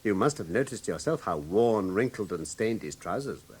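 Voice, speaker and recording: An actor in a British radio drama playing a coolly analytical gentleman detective with an English accent and a precise, assured manner. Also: on the low side, expressive, normal speed, husky. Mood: precise, animated.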